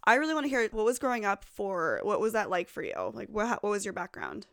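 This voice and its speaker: A woman's voice: medium pitch, sing-song, a little fast, creaky. Gushing woman podcast co-host. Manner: gushing, keeps calling the audience "you guys". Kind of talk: casual podcast chat.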